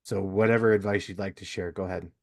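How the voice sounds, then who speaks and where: A man's voice: sits low, fairly even intonation, fast, creaky, a little mellow. A man hosting a casual podcast interview in a folksy, courteous manner, moving between chat and plugs. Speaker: podcast host.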